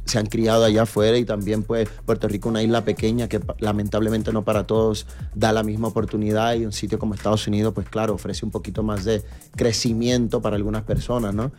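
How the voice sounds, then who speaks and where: A man's voice: on the low side, little pitch movement, quick pace, a little mellow, faint music behind. A middle-aged man from New York, a reggaeton artist talking casually as an interview guest, easygoing and full of fillers. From New York, United States. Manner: easygoing, storytelling, many fillers.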